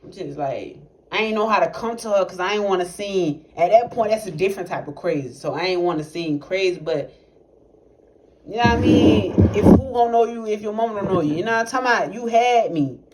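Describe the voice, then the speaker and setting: A man's voice: high voice, brisk. Rambling young man telling a wild story. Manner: rambling, animated, profane, many fillers. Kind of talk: casual storytelling testimony. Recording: noisy recording with clicks.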